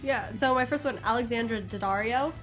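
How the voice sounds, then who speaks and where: A woman's voice: on the high side, fairly quick, loud music behind the voice. A woman co-hosting a panel show, chatting casually in short, informal phrases. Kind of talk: casual chat.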